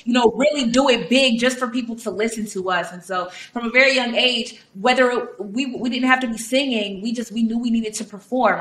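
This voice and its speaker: A woman's voice: mid-range voice, a bit bright. A young adult woman, a musician guest in an interview, telling her story with emphatic, passionate conviction. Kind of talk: conversational interview answers. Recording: roomy echoey room.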